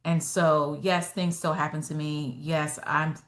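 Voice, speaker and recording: A woman real estate agent and trainer answering podcast interview questions in a steady, self-assured way, explaining step by step with fillers. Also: sits low, unhurried. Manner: firm and self-assured about her own voice.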